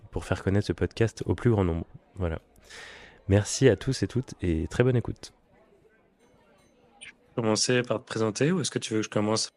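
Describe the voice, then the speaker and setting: Male podcast host, low voice, casual delivery, hesitant phrasing with frequent searching for words. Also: darkish tone. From France (Nantes). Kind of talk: casual conversational podcast talk.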